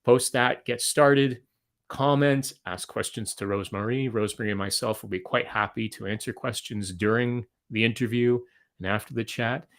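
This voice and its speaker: A man hosting an interview over a video call, measured and inviting as he sets up questions. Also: mid-range voice, a bit bright. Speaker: host.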